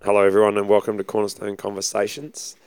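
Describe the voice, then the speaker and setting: A man, husky from preaching, chatting casually and rambling as an assistant pastor co-hosting a podcast. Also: on the low side, monotone, fast, darkish tone, no echo. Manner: easygoing, rambling with many fillers. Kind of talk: casual chat.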